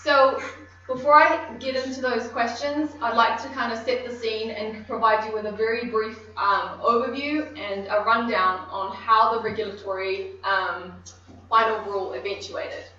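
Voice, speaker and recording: A woman with a New Zealand accent giving a technical conference presentation, measured and explanatory with frequent ums. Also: on the high side, fairly even intonation, sharp tone, slight background noise. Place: conference room. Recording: echoey room, distant mic, dull.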